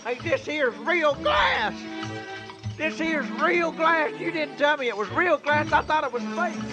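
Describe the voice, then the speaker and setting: An actor playing a cocky, folksy small-town game show contestant in a comic radio drama, with an American accent and a rambling, stammering delivery. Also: male voice, very high voice, measured pace, over music. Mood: panicked, screaming.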